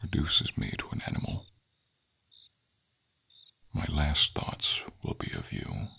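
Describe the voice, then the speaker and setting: Guy doing a wise old dragon for asmr fantasy, really low n gravelly, solemn but tender, whispery rasp. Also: sing-song, slow, bright, forceful tone, heavily acted. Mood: tender, hopeful. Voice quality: deep, breathy rasp, often dropping to a whisper. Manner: solemn, tender, formal storybook diction. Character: old friendly silver dragon, wistful protector of a knight. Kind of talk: ASMR fantasy roleplay, dramatic monologue.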